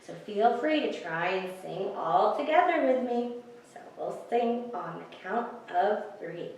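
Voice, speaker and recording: A woman's voice: mid-range voice, lively intonation, unhurried, a little mellow, a little hiss or hum. Echoey, distant woman hosting children's story time. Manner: simple, encouraging address to young children. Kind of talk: children's story time, reading and singing along. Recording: very echoey room, far from mic.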